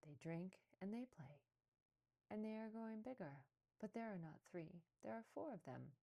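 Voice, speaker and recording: A woman voicing a protective mother fox, warm and resolute, in a storybook read-aloud. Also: mid-range voice, expressive, normal speed, creaky, pitched above the performer's own voice. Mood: content, proud. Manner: soft-spoken, resolute.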